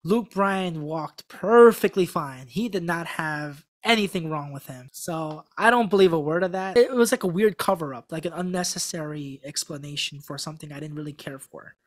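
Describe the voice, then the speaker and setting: Young man, Korean first language, podcast guest, animated storytelling, many restarts, close studio mic, small room. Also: a little high, moderate pace, few pauses. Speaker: podcast guest, former contestant. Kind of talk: casual interview talk. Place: small room with a gray backdrop. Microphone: studio mic on a stand in front.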